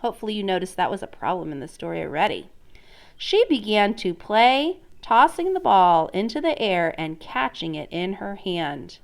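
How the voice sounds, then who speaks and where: A woman teacher reading a storybook aloud in an expressive, instructional way, prompting listeners with questions. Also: mid-range voice, normal speed, gravelly. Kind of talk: interactive storybook read-aloud lesson.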